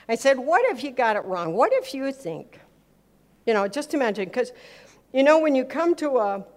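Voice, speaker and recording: A woman with a somewhat high voice gives an informal talk. She tells anecdotes as a teacher would, leaning hard on key words, repeating them, and slipping into quoted dialogue to make each point. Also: very animated, unhurried, pauses often. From America and Switzerland. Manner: emphatic, repeats words for effect, acts out dialogue. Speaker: speaker giving a talk on faith and business.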